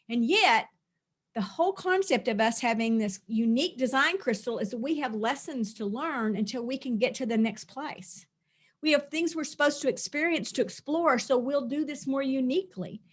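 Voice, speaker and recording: A woman's voice: sits high, animated, normal speed, breathy edge. A middle-aged woman, a spiritual teacher, talking earnestly through a release session, often repeating and listing phrases.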